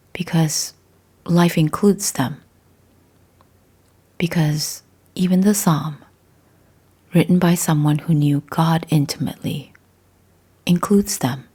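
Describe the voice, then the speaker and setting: A woman with a low, dark voice leads a guided spiritual meditation. She speaks very slowly and deliberately, in short phrases with pauses between them. The sound is soft, breathy and husky. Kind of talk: scripted guided meditation, gentle spiritual talk.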